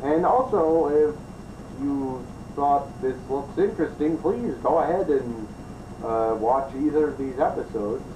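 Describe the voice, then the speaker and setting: A man's voice: mid-range voice, animated, unhurried. Echoey, distant male review host. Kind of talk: improvised review commentary. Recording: roomy, distant mic, clearly noisy.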